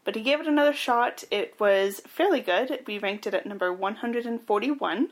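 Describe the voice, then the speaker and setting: A woman's voice: a little high, moderate pace. A woman co-hosting a casual podcast, chatting and recapping information in an informative way. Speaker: podcast co-host.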